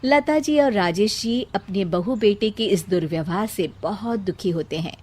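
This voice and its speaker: A woman's voice: mid-range voice, normal speed, darkish tone. A woman narrating a scripted moral story as a storyteller.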